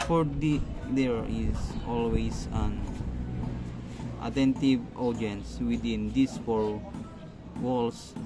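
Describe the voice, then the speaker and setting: A man playing a self-important scholarly magistrate in an amateur radio drama, speaking formally and haltingly. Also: medium pitch, over music, close to an everyday voice. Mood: formal, proud. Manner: halting, stumbling delivery with formal wording. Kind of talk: amateur stage-style radio drama reading. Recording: noisy amateur recording with steady background noise.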